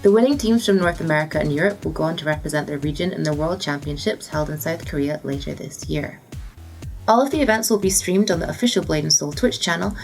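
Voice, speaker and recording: A woman's voice: deep voice, fast talker, gravelly, darkish tone, soft background music. A woman presenter reading scripted gaming and esports news.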